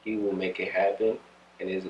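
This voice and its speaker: Male cast member, earnest, deep monotone, roomy lo-fi. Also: normal speed, slight background noise. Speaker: actor in the film. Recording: roomy, distant, dull sound.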